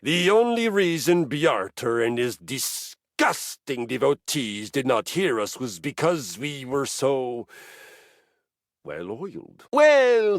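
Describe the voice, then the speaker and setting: Pompous zealous warrior-bard, swooping wildly. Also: male voice, medium pitch, dry recording, studio quality, heavily acted. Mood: triumphant, epic. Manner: pompous, theatrical saga-telling with deadpan casual asides. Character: zealous, grandiose treadmill deity, boastful lover of violence. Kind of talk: dramatic video game character monologue.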